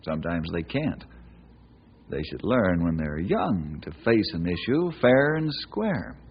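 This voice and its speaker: An actor playing a brave, devout, wry ranger hero in an old time radio western. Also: male voice, deep voice, big pitch swings, unhurried, slightly rough, exaggerated voice. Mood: earnest. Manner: wry, reassuring, homespun. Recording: muffled, noisy old radio recording.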